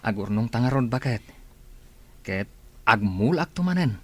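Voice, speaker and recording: A man's voice: mid-range voice, animated, moderate pace, a little mellow, close to an everyday voice. An actor with a Filipino accent playing an earnest, hardworking married man in a radio drama. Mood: tender, hopeful.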